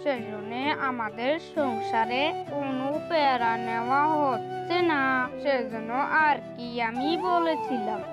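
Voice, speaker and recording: A performer voicing a meek, simple male crow husband in a children's cartoon, earnest and eager to please, with loud music over the voice. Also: mid-range voice, measured pace, natural voice. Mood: placating. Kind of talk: children's fairy-tale cartoon dialogue.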